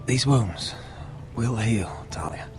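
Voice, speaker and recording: A man playing a wounded young lord in a dramatic video game, weary and stubborn yet tender, speaking in a subdued, pained, halting way. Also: lowish voice, lively intonation. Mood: tender, weak. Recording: loud music over the voice.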